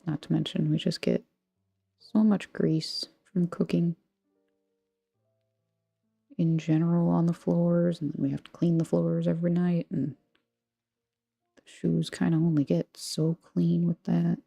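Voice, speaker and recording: A woman's voice: lowish voice, level tone, a little slow, gravelly, pauses often, dark tone, breathy edge, no room sound, studio quality. A woman from Texas with an American accent, chatting casually as a livestream host in a rambling, playful, self-deprecating way. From Texas, United States. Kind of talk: casual chat with viewers while crafting. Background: background music.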